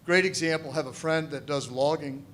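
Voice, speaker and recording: Midwestern male candidate, loud and assured, forum mic, light rumble. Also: moderate pace. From Missouri. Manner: loud, confident, says he has a big voice. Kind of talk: forum answers, public speaking. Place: event hall with audience. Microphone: handheld microphone.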